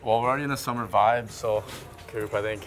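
Low-voiced young Canadian man. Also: fairly even intonation, quick pace. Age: young adult. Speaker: guest, hockey player. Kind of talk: casual chat. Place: inside a shopping mall and its stores. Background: faint music.

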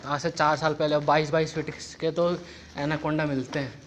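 A man vlogging on a phone while exploring old stone ruins, excited and exclaiming as he jokes with friends. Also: on the high side, moderate pace. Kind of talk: casual handheld vlog chatter. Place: old stone ruins and dark passages outdoors. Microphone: handheld phone camera. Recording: noisy outdoor phone audio.

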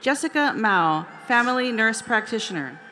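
Female ceremony announcer, fairly high voice, careful formal name reading, faint stadium ambience behind. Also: animated, pushed and bright, a little hiss or hum. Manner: careful, deliberate pronunciation of names. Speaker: commencement name reader. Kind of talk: formal reading of graduate names. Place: large outdoor stadium over a PA system.

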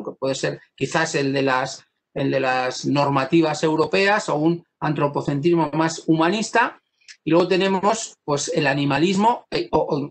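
A man from Spain giving an academic law lecture over a video call, pausing often and restarting his sentences. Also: fairly high pitch. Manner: thoughtful, many pauses, fillers and restarts.

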